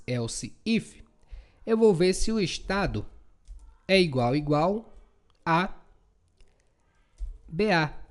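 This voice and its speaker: A Brazilian man giving an informal, chatty coding tutorial, often checking in with short tag questions. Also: fairly high pitch. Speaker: programming instructor.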